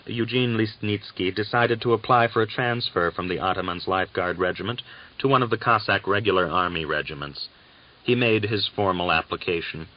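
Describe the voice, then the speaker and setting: A man narrating a novel as an audiobook, with a measured, deliberate and dramatic literary delivery. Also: low-pitched. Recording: dull, lo-fi audiobook recording.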